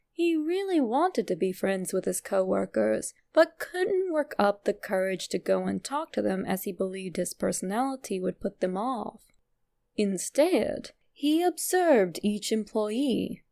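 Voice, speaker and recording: A woman narrator delivers a scripted reading. Her voice sits on the higher side and carries a clearly gravelly, creaky fry. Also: a little mellow. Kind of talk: scripted narration of a fan theory.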